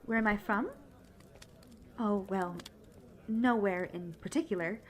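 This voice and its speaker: A woman playing a cheerful, polite young travelling bard who chats brightly and directly to the listener in a fantasy audio roleplay. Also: mid-range voice, measured pace, a little hiss or hum, close to an everyday voice. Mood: cheerful, chatty. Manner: chatty, bubbly, polite.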